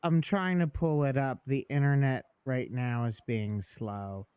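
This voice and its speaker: Man, slow measured talk, smooth voice, dry and sardonic, dry close sound. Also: medium pitch, fairly even intonation, darkish tone, no room sound. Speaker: guest on the stream. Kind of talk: casual chat.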